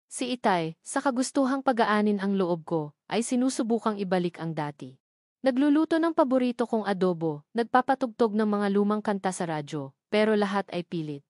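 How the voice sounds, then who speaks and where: A woman's voice: mid-range voice, a little mellow, dry recording, clean studio sound. A woman reading a scripted, dramatic first-person love story as a narrator.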